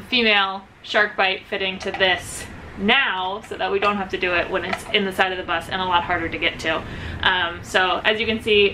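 A woman's voice: medium pitch, sharp tone, slight background noise, low-quality recording. Young woman explaining a DIY bus build. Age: young adult. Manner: practical, step-by-step, with frequent ums. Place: inside a bus under conversion.

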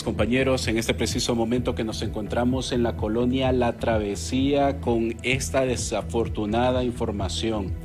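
Honduran man reporting live, music behind. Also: medium pitch. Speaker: field news reporter. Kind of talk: live TV news report with halting, improvised delivery. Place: on location at a house. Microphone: handheld reporter microphone.

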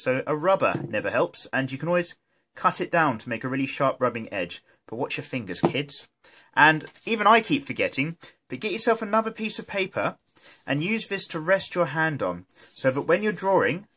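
A man's voice: mid-range voice, a bit bright. Congested British man, chatty tutorial host. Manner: chatty, jokey, self-deprecating, mildly sweary. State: congested with a cold. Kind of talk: casual, rambling tutorial narration. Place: indoors at a desk, hands filmed from above.

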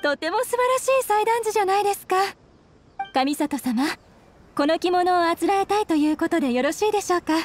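High-voiced courteous kimono shop owner. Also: female voice, animated, brisk, slight background noise. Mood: welcoming, admiring. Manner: polite, deferential customer-service speech. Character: courteous young female kimono shop owner, eager to please. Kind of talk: anime video game dialogue.

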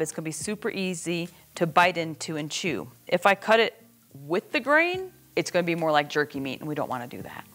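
A woman's voice: lowish voice, fairly bright tone. A middle-aged woman hosting a casual cooking demonstration in a plainspoken, folksy way, recorded in a home kitchen. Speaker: cooking host.

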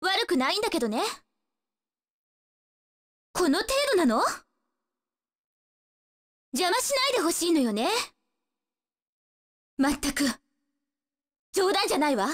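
Spirited young martial-arts girl, fighting game, extremely high voice, unhurried delivery, cocky, taunting. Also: sing-song, long reverb tail, exaggerated voice. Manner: brash, taunting, determined. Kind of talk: Japanese fighting-game voice acting, short battle lines.

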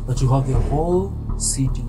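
A man with a Kenyan accent acting as a stern, determined police detective in a film, speaking deliberately with pauses. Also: medium pitch, close to an everyday voice. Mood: controlled, pressing. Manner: deliberate, measured, pausing. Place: small dim interrogation room. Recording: dramatic music loud behind.

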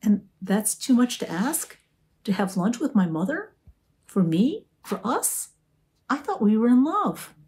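A woman's voice: a little high, big pitch swings, measured pace, darkish tone, voice pushed up. A woman doing an earnest lovestruck young man. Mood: pleading. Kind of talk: audiobook character voice.

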